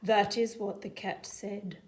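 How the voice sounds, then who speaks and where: A woman's voice: medium pitch, fairly even intonation, slow pace, darkish tone. A woman narrating a children's story as a storybook reader.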